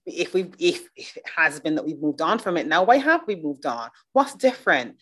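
Low-voiced woman from Barbados hosting. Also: moderate pace. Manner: animated, thinking aloud with frequent restarts. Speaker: podcast host. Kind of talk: casual interview chat.

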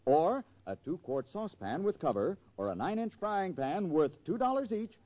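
A man announcing on 1940s radio with a polished sales pitch. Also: sing-song, moderate pace. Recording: muffled vintage 1940s radio broadcast.

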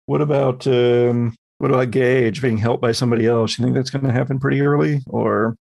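A man's voice: mid-range voice, fairly even intonation, brisk, gravelly, a little mellow. A man acting as game master, explaining the rules to his players and guiding them with questions. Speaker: game master and podcast host. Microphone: close personal microphone on a remote group call.

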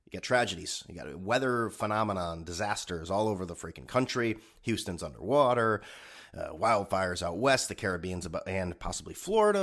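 A man's voice: fairly low pitch, a bit bright. A man hosting a solo podcast, talking in a sarcastic, rambling way with a slightly stuffed-up nose. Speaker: podcast host.

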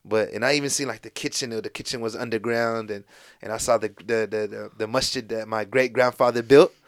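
A man's voice: lowish voice, steady tone, a little fast, dry recording, clean studio sound. Laid-back American man telling stories. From United States. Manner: laid-back storytelling with lots of fillers and restarts. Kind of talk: casual podcast conversation.

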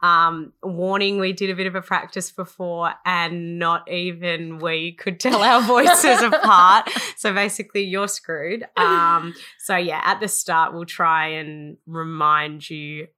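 A woman's voice: fairly low pitch, steady tone, takes it slowly, hardly any pauses, bright, forceful tone. An Australian woman in her twenties, co-hosting a podcast in a chatty, rambling way and laughing easily. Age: 20s. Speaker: podcast co-host. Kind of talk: casual chat.

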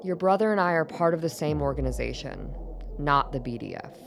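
An actress playing a composed, secretive middle-aged doctor in a radio drama, speaking in a measured, formal and persuasive way. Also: female voice, sits low, expressive, vocal fry, music in the background. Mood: earnest, firm. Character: composed, secretive older woman doctor defying her former master.

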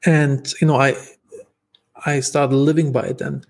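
A man's voice: mid-range voice, flat delivery, unhurried, creaky. A man with a German accent, a guest on a podcast, telling stories casually with lots of fillers and restarts. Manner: talkative, many fillers and restarts. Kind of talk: casual interview storytelling.

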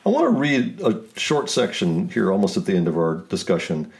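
A man's voice: low voice, huge pitch swings, normal speed, gravelly, a little mellow. A man interviewing an author, asking long, enthusiastic questions about the book.

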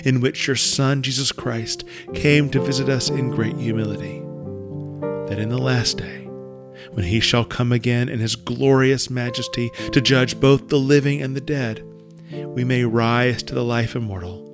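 A man recites a formal prayer with solemn reverence. His voice is medium in pitch, and piano music plays clearly behind him. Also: steady tone, moderate pace. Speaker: prayer leader.

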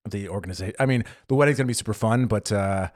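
A young man co-hosting a casual chat podcast, telling animated stories and acting out what others said. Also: lowish voice, quick pace, no room sound, studio quality. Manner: animated storyteller, acts out quotes. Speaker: podcast co-host. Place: living in Germany.

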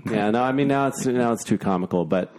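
A man's voice: mid-range voice, fast, darkish tone. A man and former actor chatting casually, rambling and restarting his sentences often. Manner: rambling, self-interrupting, frequent restarts. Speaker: podcast guest and former actor. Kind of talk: casual chat.

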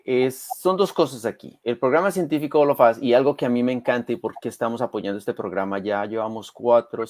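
A man's voice: medium pitch, darkish tone, dry recording. A native Spanish-speaking man explaining things conversationally as an interview guest, emphatic and fond of rhetorical check questions. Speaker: interview guest, community health program advocate.

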